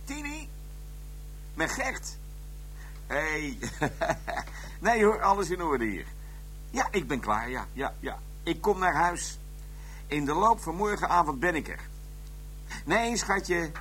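A man's voice: on the high side, very animated, slow pace, long pauses, sharp tone, a little hiss or hum, full character voice. An actor playing a jovial, affectionate policeman abroad in a radio crime drama, chatty and hearty. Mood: affectionate, cheerful.

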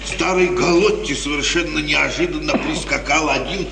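An actor playing a menacing, sly outlaw in an insinuating 1950s radio theatre manner. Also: male voice, on the high side, normal speed, creaky. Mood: probing, menacing. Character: menacing, sly outlaw bandit, sharp-featured killer. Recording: old echoey radio play, distant, noisy.

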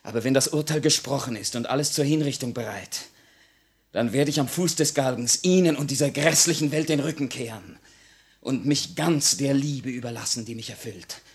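A man's voice: medium pitch, moderate pace, slightly rough. A man acting a defiant, idealistic condemned revolutionary in solemn, impassioned 1950 radio drama style. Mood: fervent. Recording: vintage 1950 radio recording.

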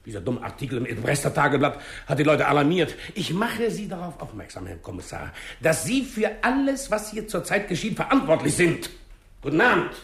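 An actor playing a pompous, irritated town mayor who scolds sarcastically in a 1960s German radio crime drama. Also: male voice, on the high side, sing-song, a little fast, vocal fry, a bit bright, full character voice. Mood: threatening, indignant.

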